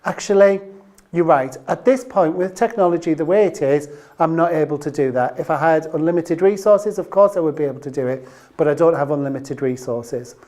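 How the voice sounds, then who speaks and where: A woman's voice: low-pitched, brisk, warm tone. A woman presenting an instructional business training video, addressing the viewer directly and leaning on key terms.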